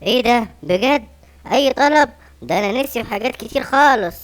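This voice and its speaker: A woman's voice: a little high, lively intonation, fairly quick, natural voice. A woman playing an eager little Egyptian lion cub. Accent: Egyptian Arabic. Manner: eager, wide-eyed child.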